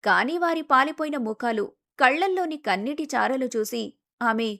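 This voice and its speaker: Lady with a pretty high voice narrating a scripted family drama story. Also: lively intonation, moderate pace, fairly bright tone.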